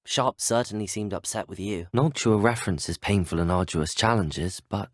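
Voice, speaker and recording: Man as a teenage British schoolboy wizard, low voice, well-spoken, earnest, dry studio sound, game dialogue. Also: moderate pace, no room sound, lightly acted. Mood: courteous, sincere. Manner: well-spoken, courteous, formal. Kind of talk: scripted video game dialogue.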